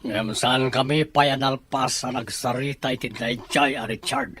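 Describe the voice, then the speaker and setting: A man's voice: medium pitch, steady tone, unhurried, fairly bright tone, a little hiss or hum, close to an everyday voice. A man with a Filipino accent playing a stern, critical middle-aged father in an audio drama.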